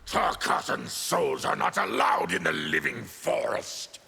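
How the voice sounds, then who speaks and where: An actor playing a fierce, proud, embittered mutant warrior in a fighting game, growling and deliberate. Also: male voice, medium pitch, wildly swooping pitch, noisy background, full character voice. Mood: bitter, proud. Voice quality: gravelly, growling. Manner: menacing, deliberate. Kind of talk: fighting game character dialogue.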